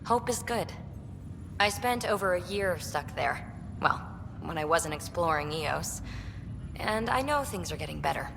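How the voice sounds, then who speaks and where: A woman's voice: on the low side, lively intonation, unhurried. A woman playing a laid-back, restless spacefarer in a video game, chatting in a casual, offhand way. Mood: laid-back, wistful. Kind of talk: scripted video game dialogue. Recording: echoey hall with clear ambient noise.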